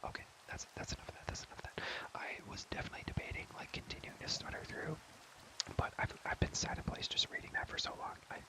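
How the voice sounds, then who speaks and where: A young man speaks entirely in a breathy whisper, close to the microphone. He rambles in a casual ASMR style as he gives a game tour. His delivery is halting, and he often repeats short phrases softly. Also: low-quality recording. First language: English. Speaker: ASMR content creator.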